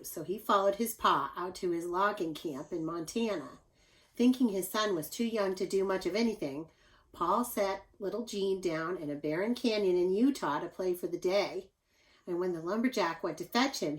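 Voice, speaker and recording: A woman's voice: mid-range voice, rough recording. Woman reading a picture book aloud. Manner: animated, addresses young listeners with asides. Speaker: teacher reading aloud to children.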